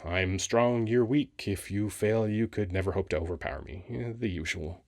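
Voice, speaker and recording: Guy doing a handsome flirty sphinx for asmr roleplay, lowish voice, playful and teasing, very dry sound. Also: moderate pace, no echo. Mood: matter-of-fact. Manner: teasing, conversational, expressive. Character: handsome, flirty male sphinx, playful ancient temple guardian. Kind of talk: ASMR roleplay audio acting.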